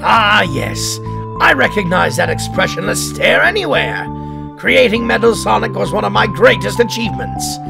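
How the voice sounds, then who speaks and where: A man playing a surly, boastful middle-aged mad doctor in an audio drama, pompous and melodramatic, with a gravelly voice. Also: high-pitched, big pitch swings, normal speed, exaggerated voice. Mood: nostalgic pride. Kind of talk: theatrical audio drama acting. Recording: music behind the voice.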